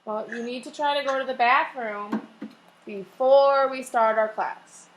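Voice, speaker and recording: A woman teaching young children in a classroom, reading aloud and instructing deliberately, with repeated questions and key phrases. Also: a little high, slight background noise, poor audio. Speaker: elementary school teacher. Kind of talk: classroom read-aloud lesson.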